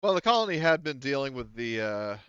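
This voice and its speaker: Man, game master, halting casual explanations, smooth voice, dry slightly dull recording. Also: medium pitch, big pitch swings, fairly bright tone, no room sound. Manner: halting, thinking aloud. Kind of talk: running a tabletop roleplaying game, casual explaining.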